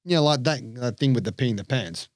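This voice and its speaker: A man with an Australian accent tells his stories with energy as a podcast guest, slipping into the voices of the people he quotes. His pitch sits in the middle range. The sound is dry and close to the mic. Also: fast talker, dry recording. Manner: animated storyteller, acts out quoted voices. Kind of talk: storytelling interview chat.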